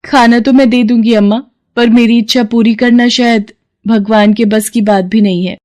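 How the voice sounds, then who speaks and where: A woman's voice: a little high, steady tone, normal speed, a little mellow, pitched above the performer's own voice, lightly acted. A woman voicing a humble, hardworking servant in a story, plaintive and respectful, with wistful longing. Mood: doubtful.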